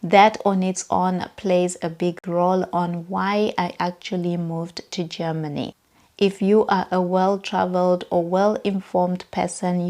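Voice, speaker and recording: A woman in her thirties speaks with a Southern African accent. Her voice sits slightly low for a woman. She addresses viewers directly in a personal vlog, recorded indoors in a bedroom. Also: warm tone. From Zimbabwe and South Africa. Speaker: vlogger.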